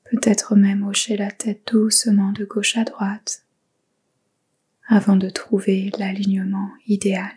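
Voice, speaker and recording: A woman guiding a yoga nidra meditation in a soft whisper, giving slow, calm instructions. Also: mid-range voice, slightly rough. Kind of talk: guided meditation.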